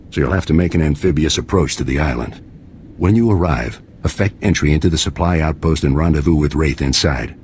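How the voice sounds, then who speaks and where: A man playing a stern, composed middle-aged military commander in a video game, speaking in a measured, formal, authoritative way. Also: deep voice, darkish tone, slight background noise, natural voice. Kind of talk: scripted video game acting.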